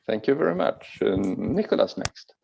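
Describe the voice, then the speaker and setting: A man's voice: fairly low pitch, very animated, moderate pace, vocal fry, a little mellow, breathy edge. A man chairing an online meeting, calling on speakers in a brief, polite way.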